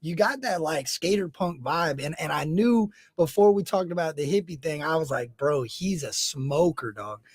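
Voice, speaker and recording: A man's voice: fairly high pitch, animated, moderate pace, hardly any pauses, husky, no echo. Eager slangy man, dry close sound. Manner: enthusiastic, slangy, calls people dog and bro. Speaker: host. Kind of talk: casual chat.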